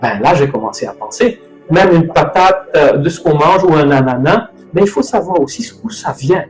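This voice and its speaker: Casting: middle-aged male host, from Mauritius, a touch high, loose rambling delivery, echoey room with faint music. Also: animated, normal speed. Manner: rambling, loose, self-correcting. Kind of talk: casual talk on nutrition. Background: soft music.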